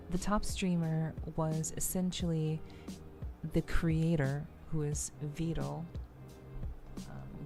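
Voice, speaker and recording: A woman hosting a livestream, chatting casually with viewers in a halting, measured way, often repeating words as she thinks. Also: sits low. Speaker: VTuber livestream host.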